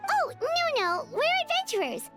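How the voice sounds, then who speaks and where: A tiny, excitable fairy companion, with a very high, squeaky voice that bounces all over the place. She chatters eagerly and calls herself by name, all over loud game music. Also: normal speed. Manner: eager, refers to herself in third person.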